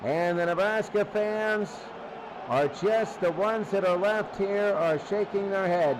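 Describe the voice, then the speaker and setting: A high-voiced man gives play-by-play football commentary over clearly noisy sound. He takes his time and repeats key phrases to drive them home. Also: slow pace. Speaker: play-by-play sports announcer. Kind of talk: live American football radio-style commentary.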